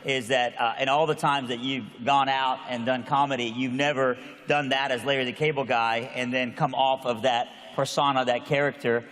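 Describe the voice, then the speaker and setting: A man's voice: mid-range voice, flat delivery, normal speed, hardly any pauses, fairly bright tone, big-room sound. A man hosting an on-stage interview, enthusiastic as he introduces his guest. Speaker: host. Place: large hall on stage.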